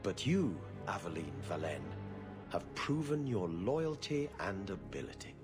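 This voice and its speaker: Low, swooping officious official. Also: male voice, big pitch swings. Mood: officious, formal. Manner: measured, theatrical, formal. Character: pompous, officious male government official in fantasy city. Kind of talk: video game cutscene acting. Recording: music behind the voice.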